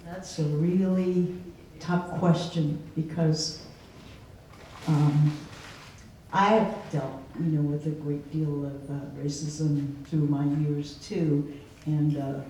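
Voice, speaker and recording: A woman's voice: low-pitched, steady tone, slow pace, warm tone. A woman keynote speaker answering an audience question in a reflective, conversational way. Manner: reflective, conversational, storytelling with laughs. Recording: echoey hall from far off, faint noise.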